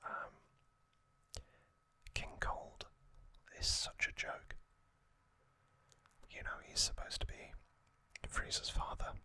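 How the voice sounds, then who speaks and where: A man hosting an ASMR video, whispering a casual, opinionated fan recap with frequent pauses and ums. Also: pushed and bright. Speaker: ASMR host.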